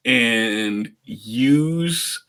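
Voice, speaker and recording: Slow-talking man playing a tabletop game. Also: mid-range voice, lively intonation, sharp tone. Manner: playful, thinking aloud. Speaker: player in a tabletop roleplaying game. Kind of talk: casual table talk.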